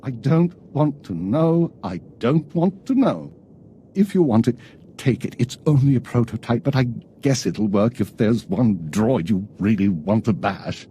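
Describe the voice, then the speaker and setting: An actor playing a nervous, cowardly inventor in a video game, anxious and pleading. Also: male voice, medium pitch, lively intonation, a little slow, warm tone, a little hiss or hum, lightly acted.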